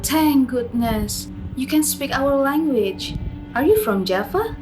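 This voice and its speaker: Woman acting a hopeful, higher-voiced village girl. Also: lively intonation, takes it slowly, music in the background, rough recording. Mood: relieved. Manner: earnest, polite. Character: hopeful, innocent young Indonesian village girl. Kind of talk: radio drama acting.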